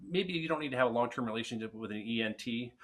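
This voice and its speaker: A man's voice: mid-range voice, quick pace, a bit bright, a little hiss or hum. A man answering viewer questions on speech and swallowing, frank and direct, with frequent restarts. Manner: frank, advice-giving, with restarts. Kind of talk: casual webinar Q&A.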